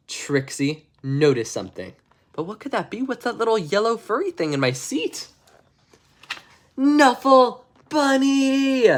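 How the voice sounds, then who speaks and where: A man reads a children's bedtime story aloud. His pitch moves around a great deal as he narrates expressively, and he slips into casual, chatty asides around the text. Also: a bit bright. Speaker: storybook reader.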